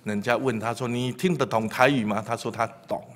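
A middle-aged man with a Taiwanese accent preaches from the pulpit into a lectern microphone. He talks at an even, middling pitch and fills his pauses with drawn-out filler sounds. He livens up as he tells a story. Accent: Taiwanese Mandarin. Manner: many fillers, animated when telling jokes. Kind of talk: sermon in Mandarin with anecdotes. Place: church pulpit.

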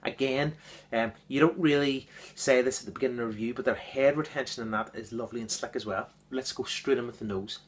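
A man giving an enthusiastic, casual beer review, repeating words and filling pauses with ums. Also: mid-range voice, slight background noise.

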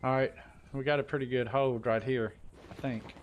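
A man with a medium-pitched voice talks casually as he streams a team game. There is faint noise behind him. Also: steady tone, moderate pace, highs cut off. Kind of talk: casual team gaming chat.

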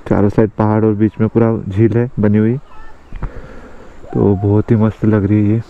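A man's voice: on the low side, little pitch movement, moderate pace. A young man in his twenties, chatting casually as he rides and treks outdoors, upbeat as he shows off the views. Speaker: motovlogger. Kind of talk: casual travel vlog narration. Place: outdoors on hills and riding a motorbike in rain. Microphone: action camera, handheld at arm's length while trekking. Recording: dull lo-fi action camera audio with wind and traffic noise.

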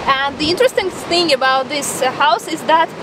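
Excited young woman vlogging outdoors. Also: sits high, a little fast, background noise. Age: young adult. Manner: excited, chatty, with many "like"s and restarts. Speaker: travel vlogger. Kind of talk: casual travel vlog talk to camera. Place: outdoors on a farm and inside a small historic house.

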